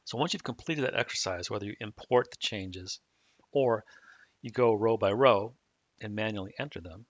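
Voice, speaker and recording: A man calmly explaining software setup step by step in a screen-recorded tutorial. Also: lowish voice, moderate pace, no echo. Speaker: software trainer. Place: at a computer, voice-over on a screen recording. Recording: dull dry mic audio.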